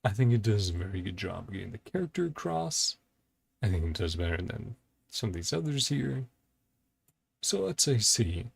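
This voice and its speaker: A man's voice: lowish voice, big pitch swings, normal speed, creaky, slightly rough. A man giving casual, off-the-cuff reaction commentary, thinking aloud in short broken phrases. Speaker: host of a reaction and ranking video. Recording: music playing behind the voice.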